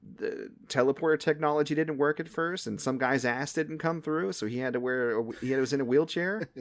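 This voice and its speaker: A man's voice: on the high side, moderate pace, dry recording, studio quality. A man in his late thirties chatting casually about movies as a podcast co-host. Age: late 30s.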